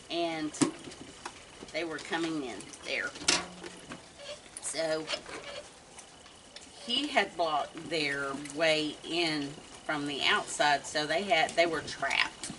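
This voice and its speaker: A woman in her fifties chatting casually on a night-time deck, telling a story slowly with many pauses and softly greeting animals between sentences. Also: deep voice. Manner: slow, halting storytelling with many ums, breaking off to coo softly at animals. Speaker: wildlife feeding livestream host. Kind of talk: casual chat while feeding raccoons. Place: outdoor wooden deck at night. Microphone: fixed camera from a few meters away. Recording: distant voice with clear background noise outdoors.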